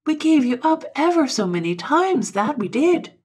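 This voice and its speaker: A woman's voice: high-pitched, sing-song, moderate pace, darkish tone, voice pushed up. A woman voicing a cheerful, emotional male friend in a story, exclamatory, soothing and fond. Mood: choked relief.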